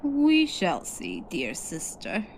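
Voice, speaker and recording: A woman's voice: lowish voice, huge pitch swings, slow, creaky, slight background noise, full character voice. A woman playing a proud, hot-headed younger elf warrior in a fantasy roleplay, with a haughty and dramatic delivery. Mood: defiant. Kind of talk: fantasy audio roleplay acting.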